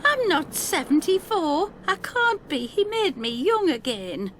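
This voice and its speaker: A woman's voice: extremely high pitch, very animated, unhurried, a bit bright, slight background noise, heavily acted. An actress playing a vague, spaced-out woman in her seventies, wistful and deluded, in an audio drama. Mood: distressed denial.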